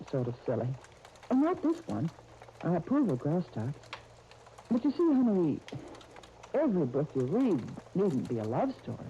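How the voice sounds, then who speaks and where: An actress playing a gentle, earnest guardian of young twins in a 1930s radio soap opera, speaking tenderly. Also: female voice, lowish voice. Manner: tender, earnest, uses endearments. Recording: muffled, noisy 1939 radio disc recording.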